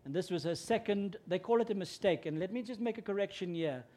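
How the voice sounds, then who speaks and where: A man's voice: high voice, fast talker. Afrikaans-man preaching. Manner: earnest, grows louder and more emphatic when preaching. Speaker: guest preacher. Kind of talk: sermon.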